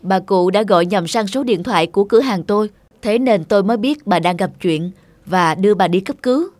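A man playing a kind, reassuring young shopkeeper in cartoon dialogue, with a calm, modest manner. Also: high-pitched, fairly quick, no echo, natural voice.

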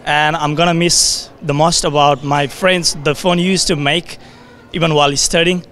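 A man's voice: a little high, fairly even intonation, normal speed, fairly bright tone. A young man, a new graduate, giving an informal message into a handheld microphone. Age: young adult. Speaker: graduating student.

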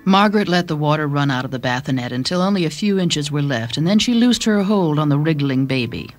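Woman narrator, low voice, dramatic short-story reading, intimate and expressive, music underneath. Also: a little fast. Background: background music.

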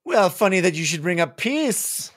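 A man's voice: sits high, very animated, normal speed, fairly bright tone, dry recording. A middle-aged man co-hosting a podcast, discussing books and reading passages aloud expressively into a close microphone. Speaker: podcast co-host. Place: small home office, indoors. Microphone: close podcast microphone on a boom arm.

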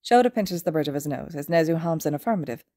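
A woman's voice: low-pitched, animated, quick pace, warm tone, dry recording. A woman reading a story aloud as a podfic narrator, in scripted fiction narration.

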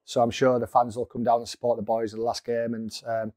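A football manager in his forties gives a post-match interview indoors. His voice sits level with little rise and fall, and he talks in a measured way, with many ums. The sound is dry and close from a clip-on mic. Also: mid-range voice, little pitch movement, dark tone, no echo. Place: small indoor room in front of a sponsor board. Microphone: lapel mic.

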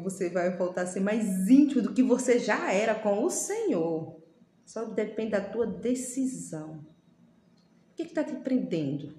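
A woman in her thirties from Brazil, giving a devotional sermon to her phone camera, fervent and pleading, often slowing down for emphasis. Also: mid-range voice, mellow. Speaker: lay preacher. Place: small room indoors. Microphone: phone camera at arm's length. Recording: echoey room with faint background hum.

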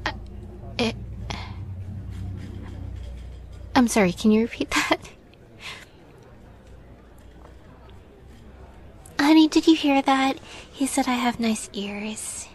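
A woman playing a shy, sweet young catgirl roommate in a girlfriend roleplay, soft-spoken and hesitant, often slipping into a whisper. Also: fairly high pitch, moderate pace, natural voice. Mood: polite, chatty. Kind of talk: girlfriend roleplay audio acting.

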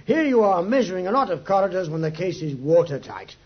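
An actor playing a smug, easily exasperated police inspector in a 1950s radio drama. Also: male voice, high-pitched, very animated, fairly quick, husky, full character voice. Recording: dull, muffled 1950s radio recording.

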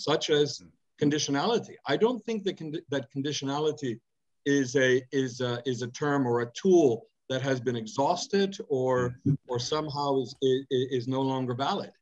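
Middle-aged man, earnest, on a muffled call. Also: medium pitch. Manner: deliberate, emphatic, with many repeated words. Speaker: audience member asking a question. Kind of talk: earnest spoken question on a video call.

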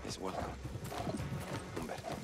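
A man playing a grave, weary knight who reads his journal in a solemn, measured way for a fantasy game. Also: very noisy, natural voice. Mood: solemn, resigned. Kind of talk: scripted dramatic journal narration in a fantasy game.

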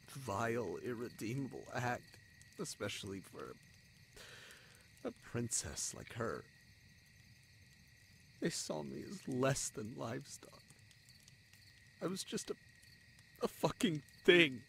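A man playing a bitter, wounded young spider prince in a fantasy audio drama, speaking in halting, emotional phrases with heavy pauses. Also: mid-range voice, vocal fry, fairly bright tone, slight background noise, exaggerated voice. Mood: hurt, indignant. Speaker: voice actor in an audio roleplay.

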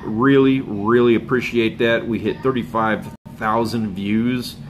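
A man hosts a fishing channel live stream from home, chatting loosely with viewers and sounding hoarse from a cold. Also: fairly low pitch, fairly even intonation, measured pace, slight background noise. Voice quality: rambling, laid-back, with chuckles and filler words. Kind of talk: casual live stream chat. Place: home room with bookshelves and a window. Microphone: phone camera up close.

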